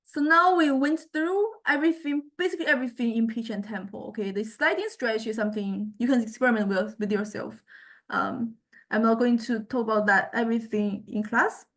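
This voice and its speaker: A woman teaching music technology in an informal software demonstration, thinking aloud and explaining tentatively in a halting way. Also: fairly high pitch. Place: recorded software lesson.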